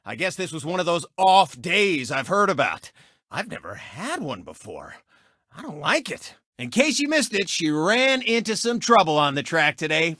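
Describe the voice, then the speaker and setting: A smug cartoon race car presenting his own racing show in a video game. The voice is high and bright, swooping widely as he brags and sneers like a showboating sportscaster. It is recorded very dry and close. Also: dry recording, heavily acted. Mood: sulky, then gloating. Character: boastful, smug male race car turned TV show host.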